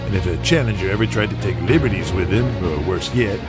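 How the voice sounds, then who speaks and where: Man playing a low, blustering old wrestling boss. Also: fast talker, close to an everyday voice. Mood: dismissive. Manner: blustering, condescending. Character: gruff, proud older male wrestling boss, hot-tempered. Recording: loud music behind the voice.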